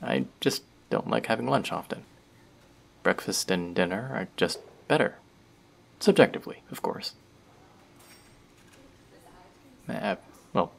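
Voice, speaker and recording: A teasing, talkative young barista, voiced by a man in an audio roleplay, husky with a gravelly edge. His pitch sits low but swings widely as he rambles and jokes. The tone stays friendly and playful. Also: exaggerated voice.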